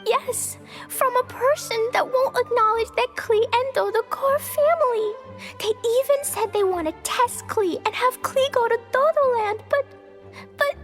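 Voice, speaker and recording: A cute little girl from a video game, with a very high, childlike voice. She speaks slowly and earnestly, halting over big words, with light music behind her. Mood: upset. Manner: childlike, refers to self in third person, halting over hard words. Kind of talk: video game character voice acting.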